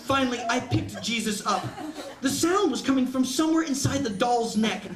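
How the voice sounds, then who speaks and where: A man, an author, reading his humorous short story aloud to an audience as an animated comic storyteller. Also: extremely high pitch, normal speed, music in the background. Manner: animated comic storyteller, acts out quoted lines. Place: indoor bookstore reading event. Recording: echoey bookshop room, distant mic, audience noise. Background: laughing audience.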